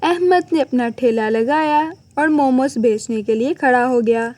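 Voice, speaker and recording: A woman's voice: high-pitched, unhurried, mellow. A woman narrating a scripted cartoon moral story for the listener.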